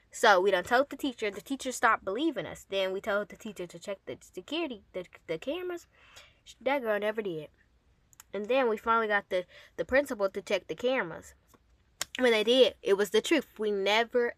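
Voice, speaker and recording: A woman telling a personal story casually to her audience, with an animated, emphatic and sassy manner. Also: medium pitch, dry recording. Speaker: storyteller and host addressing an audience.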